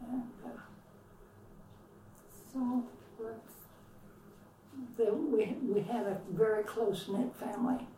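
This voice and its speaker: A woman in her nineties, telling her life story slowly in an oral history interview at home, with pauses and repeats. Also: a little high, steady tone, mellow, slight background noise. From US West Coast. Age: 90s. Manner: slow, reflective, with pauses and repeats. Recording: roomy, from far off.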